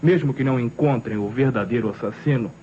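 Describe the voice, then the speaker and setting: An actor playing a loyal, determined young Brazilian son turned amateur detective, earnest and insistent, in an old radio drama. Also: male voice, medium pitch, quick pace, dark tone, natural voice. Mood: earnest, reassuring. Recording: muffled, noisy old radio recording.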